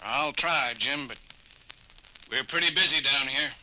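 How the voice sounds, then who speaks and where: An actor playing a gruff, busy male starship doctor, talking in a blunt, harried way. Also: mid-range voice, unhurried, sharp tone, a little hiss or hum, poor audio.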